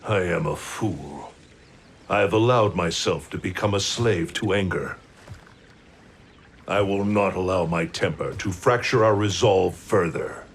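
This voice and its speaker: An actor playing a stern, quick-tempered thunder god in a video game, speaking in a formal, commanding way. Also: male voice, low voice, slight background noise. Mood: solemn, resolute. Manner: commanding, formal, solemn speech. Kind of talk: dramatic video game cutscene acting.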